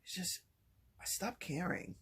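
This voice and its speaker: A man talking softly and haltingly in a personal vlog, with many pauses and restarts. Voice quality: low voice. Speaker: vlogger. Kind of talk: personal vlog, reflective talk.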